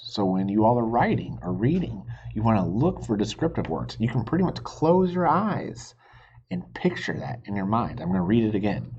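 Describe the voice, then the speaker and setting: Breathy, animated man reading a storybook. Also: lowish voice, lo-fi sound. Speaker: reader, teacher. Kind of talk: expressive children's storybook read-aloud.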